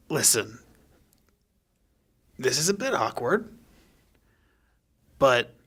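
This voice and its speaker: Man as male leader, tabletop game character, medium pitch, measured, halting, polite. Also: vocal fry, a bit bright, heavily acted.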